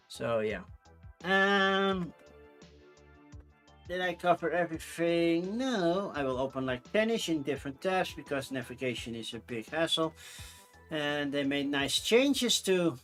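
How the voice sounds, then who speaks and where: A man's voice: a little high, animated, takes it slowly, pauses often, fairly bright tone, faint music behind. A man with a Dutch accent, a native Dutch speaker, hosting his channel in casual solo chat, rambling and often correcting himself. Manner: rambling, chatty, self-correcting with frequent fillers. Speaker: channel host.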